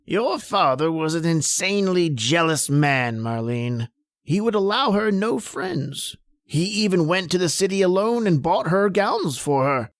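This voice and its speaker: Man playing a grave, higher-voiced radio-drama doctor. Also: animated, unhurried, no echo. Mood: grave, revealing. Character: grave male doctor solving a family tragedy. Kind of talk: old-time radio drama acting.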